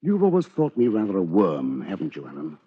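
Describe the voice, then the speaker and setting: An actor playing a dry, resentful British bachelor lawyer with a measured, controlled manner, in 1940s radio drama. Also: male voice, low-pitched, wildly swooping pitch, slightly rough, full character voice. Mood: coolly gloating. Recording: dull lo-fi old radio recording.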